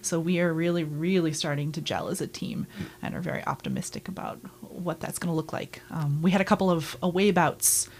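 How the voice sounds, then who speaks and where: A woman's voice: low voice, a little fast, a little hiss or hum. A woman answering as a guest in an interview, upbeat and fluent with frequent fillers. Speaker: roller derby team member, guest. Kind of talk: casual interview chat.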